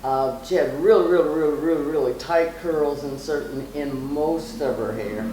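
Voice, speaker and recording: A woman's voice: sits low, a little slow, dark tone. A middle-aged woman reminiscing casually as an interviewed mother, rambling and repeating words for emphasis. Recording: echoey, distant mic, faint noise.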